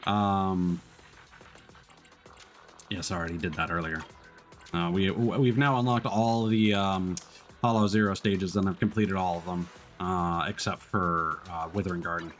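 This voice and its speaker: A man's voice: deep voice, steady tone, unhurried. A man doing casual video game livestream commentary, talking through what is on screen as he plays. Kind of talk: casual game stream commentary, reading dialogue aloud. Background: background music.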